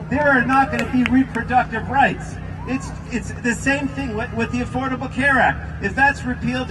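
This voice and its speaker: High-voiced man speechifying at a noisy outdoor rally. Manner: emphatic, repeats phrases for effect. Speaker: politician addressing a rally. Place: outdoors in a park among a crowd. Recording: far-off phone recording, noisy outdoor crowd.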